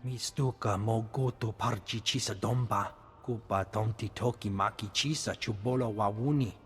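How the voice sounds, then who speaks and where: An actor voicing a shady alien slave trader in a video game, talking in invented alien speech. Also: male voice, fairly low pitch, moderate pace, long reverb tail, faint music behind, lightly acted. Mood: shifty.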